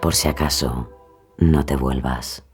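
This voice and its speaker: A man giving slow, dramatic, hushed scripted true-crime narration. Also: a bass voice, steady tone. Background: music.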